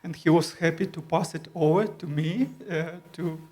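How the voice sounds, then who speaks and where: A man with a Ukrainian accent and a somewhat high voice answers panel questions on a conference stage. His English is careful and halting, full of pauses and fillers, with a shy, understated delivery. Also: steady tone, a little mellow. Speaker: panelist, user group organizer. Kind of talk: live panel discussion. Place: conference stage before an audience.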